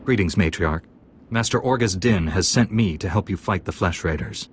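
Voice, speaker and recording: An actor playing a confident, earnest young warrior apprentice in a video game, assured and direct. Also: male voice, low-pitched, moderate pace, slightly rough. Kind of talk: video game dialogue acting.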